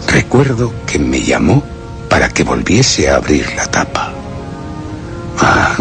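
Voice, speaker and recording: A man's voice: low voice, heavily acted. An actor with a Castilian Spanish accent playing a tormented man who reasons obsessively in a brooding, formal monologue for suspense radio theatre. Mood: brooding, resolute. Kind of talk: dramatic first-person suspense radio theatre narration.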